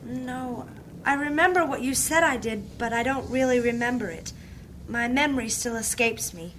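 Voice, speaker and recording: A woman playing a troubled, gentle amnesiac searching her memory in a fantasy audio drama. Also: fairly high pitch, moderate pace, slight background noise, lightly acted, rough recording.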